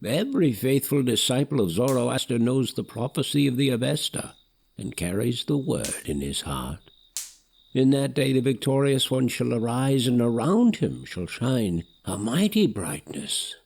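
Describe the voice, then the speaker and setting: An actor in an audio drama playing a devout old Magus, a father, speaking in a halting, reverent way with a creaky voice. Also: medium pitch, very animated, darkish tone, full character voice.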